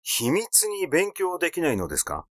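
A man's voice: a little high, huge pitch swings, normal speed, a bit bright, dry recording, clean studio sound, pitched above the performer's own voice. A man voicing a suspicious son who questions politely and insistently in a mystery audiobook. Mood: probing, suspicious. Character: suspicious, persistently probing son of the deceased.

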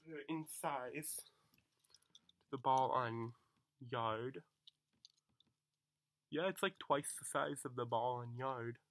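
A young man with a cold chatting excitedly through a toy unboxing at home, recorded on a camera mic. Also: medium pitch, slow pace, long pauses. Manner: excited, chatty, self-deprecating. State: coming down with a cold. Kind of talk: casual unboxing commentary. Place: small home room, bedsheet backdrop.

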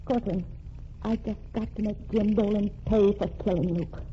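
A woman's voice: mid-range voice, fairly even intonation, moderate pace, close to an everyday voice. An actress playing a determined young woman bent on revenge in 1940s radio drama style, speaking earnestly and intensely. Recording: dull lo-fi old radio recording with faint hiss.

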